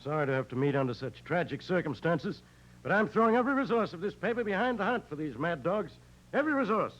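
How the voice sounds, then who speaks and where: An actor playing a self-important, blustering newspaper publisher who repeats himself for emphasis, in 1940s radio drama style. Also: male voice, high voice, big pitch swings, quick pace, fairly bright tone, full character voice. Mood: pompous, indignant. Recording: noisy muffled old radio recording.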